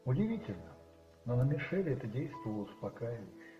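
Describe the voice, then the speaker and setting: A man narrating a radio play as dramatic literary storytelling, musing and rhetorical, with dramatic pauses. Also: medium pitch, sing-song, mellow. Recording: roomy, slightly muffled, with music behind.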